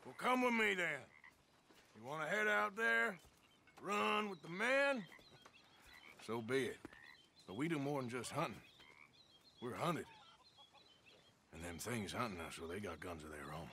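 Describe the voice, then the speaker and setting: An actor playing a gruff, sarcastic, short-tempered outlaw in a Western video game, with a blunt, sardonic delivery. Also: male voice, mid-range voice, very animated, a little slow, long pauses, pushed and bright, a little hiss or hum, exaggerated voice. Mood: exasperated, stern.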